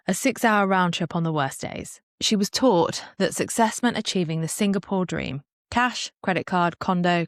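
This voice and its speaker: A woman's voice: lowish voice, dry recording, studio quality. A woman hosting a podcast, reading a polished, enthusiastic introduction and then welcoming her guest. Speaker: podcast host, leadership coach.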